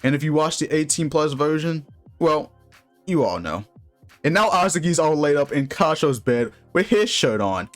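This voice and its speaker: A man's voice: on the high side, big pitch swings, moderate pace. Man reviewing games over background music. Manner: informal, opinionated, mildly crude slang. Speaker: video game reviewer. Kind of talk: casual review commentary recapping a story.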